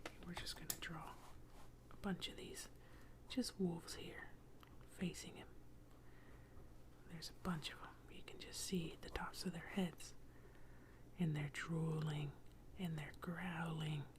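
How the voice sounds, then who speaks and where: A woman telling an ASMR bedtime story in a hushed, breathy whisper, close to the microphone. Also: low voice, level tone, takes it slowly.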